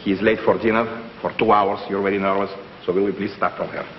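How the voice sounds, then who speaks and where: A man's voice: on the low side, little pitch movement, quick pace, natural voice. A man in a 1950s comedy sketch playing a fussy, exasperated film director, on a muffled old broadcast. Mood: coaxing. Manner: fussy, long-suffering. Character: fussy, exasperated male film director coaxing his stars. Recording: muffled old noisy broadcast, roomy studio audience.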